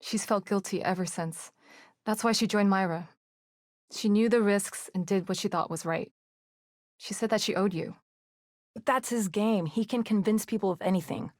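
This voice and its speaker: Actress doing serious game psychologist lady, measured and articulate, really dry close sound. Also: mid-range voice, no room sound, natural voice. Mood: composed, serious. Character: composed, earnest female team psychologist under threat. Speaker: video game voice actor. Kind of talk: video game dialogue.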